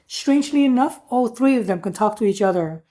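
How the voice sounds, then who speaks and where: Higher-pitched woman with a mellow tone. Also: expressive, fairly quick. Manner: informal, plain-spoken explaining. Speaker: product reviewer. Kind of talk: casual hands-on product review.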